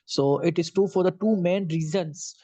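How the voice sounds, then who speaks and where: Hesitant student. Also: male voice, high-pitched, dark tone, no echo. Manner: hesitant, halting questions. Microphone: video call. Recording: dull lo-fi video call audio.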